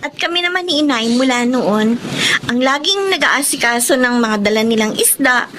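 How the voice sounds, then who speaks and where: A woman playing a gentle, capable young daughter of a fishing family in a Filipino radio drama. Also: high voice, expressive, moderate pace, background noise. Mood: earnest, assured.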